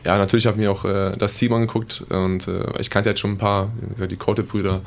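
A young man speaking as a guest footballer in a casual interview, halting often with fillers. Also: fairly low pitch, little pitch movement, gravelly.

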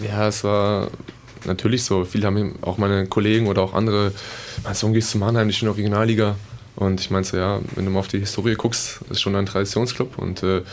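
Young man, footballer guest, low voice, gravelly, halting with fillers. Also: level tone, slight background noise. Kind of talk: casual interview talk.

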